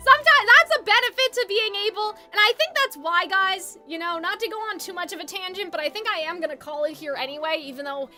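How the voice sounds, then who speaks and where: A woman's voice: extremely high pitch, fast, hardly any pauses, pushed and bright, soft background music. A woman livestreamer chatting casually and ramblingly with her viewers, opinionated and informal, sounding a little phlegmy. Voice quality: slightly congested, phlegmy. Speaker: game livestreamer.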